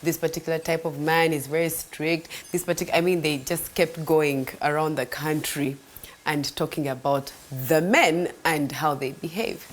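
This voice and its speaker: A young woman, telling a faith testimony in an earnest, animated way that sometimes drops to a soft near-whisper. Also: deep voice, moderate pace. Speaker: host sharing a faith testimony. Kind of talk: devotional storytelling.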